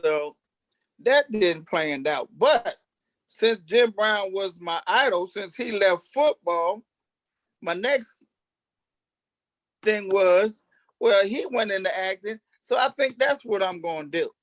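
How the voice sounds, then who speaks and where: A man's voice: high-pitched, animated, takes it slowly, long pauses, fairly bright tone, dry recording. A man who acts on stage, casually telling stories about himself as an interview guest. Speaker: stage actor being interviewed. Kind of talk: casual storytelling.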